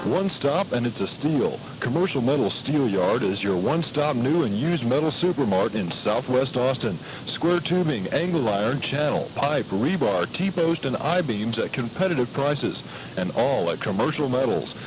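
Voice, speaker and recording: Animated American man reading a radio ad. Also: mid-range voice, moderate pace, music in the background. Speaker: radio commercial announcer. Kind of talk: scripted local radio ad read. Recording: noisy 1980s radio ad on cassette.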